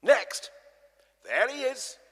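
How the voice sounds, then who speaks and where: A man narrating scripture dramatically, like a theatrical storyteller, with emphatic swells and hushes. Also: very high voice, very animated, drawn-out words, husky. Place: large church, live performance. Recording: echoey church, long reverb.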